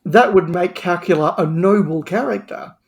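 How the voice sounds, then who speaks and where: A man with a fairly high voice talks as a podcast panelist. He is emphatic and analytical, often doubling up words as he builds an argument about drama. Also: moderate pace, vocal fry, a little hiss or hum. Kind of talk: discussion of audio drama.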